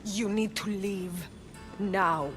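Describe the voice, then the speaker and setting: An actress playing a solemn, mysterious oracle of Apollo in a video game drama, with a portentous, ceremonial way of speaking. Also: female voice, medium pitch, fairly even intonation, takes it slowly, faint music behind, close to an everyday voice. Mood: startled, urgent.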